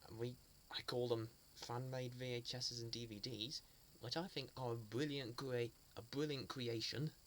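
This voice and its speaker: Halting, earnest man with many restarts. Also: mid-range voice, fairly even intonation, fairly bright tone, a little hiss or hum. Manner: halting, with frequent restarts and repeated words; earnest and fond. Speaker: fan sharing memories. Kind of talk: casual spoken reminiscence.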